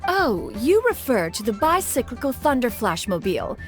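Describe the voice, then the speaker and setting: Proud immortal master, lively pompous delivery. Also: female voice, on the high side, moderate pace, soft background music. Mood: boastful. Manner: pompous, formal archaic speech, calls herself "one". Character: proud, ancient immortal female adeptus, vain and dignified. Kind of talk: video game character acting.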